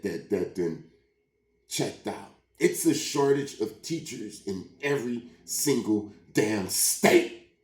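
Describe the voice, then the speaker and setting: A man's voice: medium pitch, warm tone, echoey room. A man with a sore throat from a cold, giving an emphatic, preachy monologue and often repeating himself. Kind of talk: casual rant to camera.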